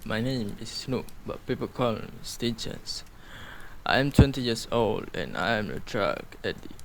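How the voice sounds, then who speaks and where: A young addict played by a man in an amateur radio drama, speaking slowly in a gravelly, frying voice. He is erratic and manic, rambling and repeating himself. There is a faint layer of noise on the recording. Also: fairly low pitch, big pitch swings, a bit bright, heavily acted. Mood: confessional. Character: manic twenty-year-old male drug addict turned dealer.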